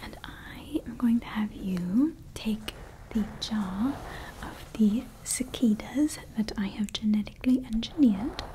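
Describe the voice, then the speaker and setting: A woman's voice: a little high, measured pace, dark tone, a little hiss or hum, lightly acted, rough recording. A woman voicing a scheming evil female scientist in an ASMR roleplay, whispering throughout and rambling with fillers. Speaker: ASMR creator.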